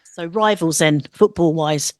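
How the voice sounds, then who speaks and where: A woman's voice: deep voice, a little slow, no echo, clean studio sound. A woman hosting a radio show, asking her guests questions in a friendly, upbeat way. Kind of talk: radio interview.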